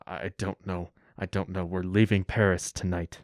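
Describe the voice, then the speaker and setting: A weary fugitive father in a radio play, acted by a man. His voice is extremely low and fairly even. He speaks in short, grave, guarded phrases, hushed but gentle. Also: moderate pace, no echo, clean studio sound. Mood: grave, urgent. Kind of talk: radio drama acting.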